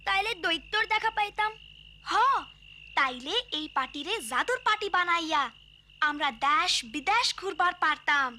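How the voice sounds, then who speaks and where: A woman's voice: very high-pitched, lively intonation, moderate pace, sharp tone, slight background noise, full character voice. A woman voicing an eager, dreamy young child from a village in a cartoon, with a rural Bangladeshi accent. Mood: dreamy, wistful. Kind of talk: cartoon voice acting.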